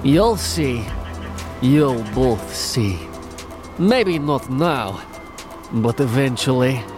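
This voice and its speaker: A man playing a taunting, grandiose supervillain in a superhero audio drama, with theatrical, pompous, drawn-out delivery. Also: medium pitch, lively intonation, music in the background. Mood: scornful, grandiose.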